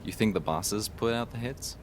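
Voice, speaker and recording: An actor playing a level-headed police detective, reasoning matter-of-factly in audio drama dialogue. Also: male voice, on the low side, normal speed, slight background noise, close to an everyday voice. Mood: analytical. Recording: noticeable background ambience.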